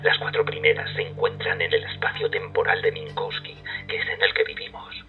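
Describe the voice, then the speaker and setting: A man with a Castilian Spanish accent playing an obsessed, cryptic older mathematics professor in a dubbed film, speaking with breathy, intense deliberation. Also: fairly low pitch, animated, moderate pace, narrow, tinny audio, faint music behind. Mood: hushed, intense. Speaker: dubbing actor.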